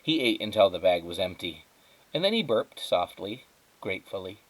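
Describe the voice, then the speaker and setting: Expressive man reading a children's story aloud. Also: deep voice, rough recording. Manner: dramatic, measured phrasing with emphatic pauses. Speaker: teacher reading aloud to students.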